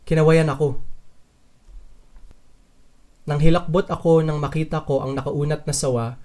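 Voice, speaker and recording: A man's voice: on the high side, level tone, moderate pace, darkish tone, natural voice. A man narrating a dramatized literary story in the first person as a curious, wary traveler. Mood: suspenseful. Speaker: narrator and lead character of a dubbed short story.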